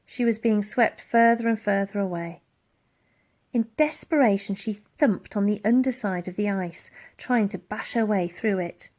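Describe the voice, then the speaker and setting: A woman reading a children's story aloud in an expressive, dramatic storytelling style. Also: mid-range voice, moderate pace. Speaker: audiobook reader.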